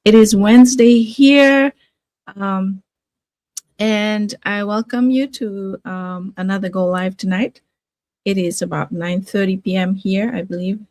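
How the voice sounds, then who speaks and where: Halting, reflective woman on a dry mic. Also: mid-range voice, fairly even intonation, a little mellow, no echo. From Fiji. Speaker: livestream host, nurse and online business owner. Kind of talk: casual motivational livestream talk.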